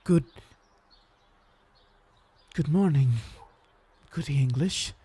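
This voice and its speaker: An actor playing a stern, accusatory seventeenth-century sheriff in an audio drama, speaking stiffly and formally. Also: male voice, on the high side, noisy background. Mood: awkward, formal. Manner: stiff, formal, halting, deliberate. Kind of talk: period audio drama acting.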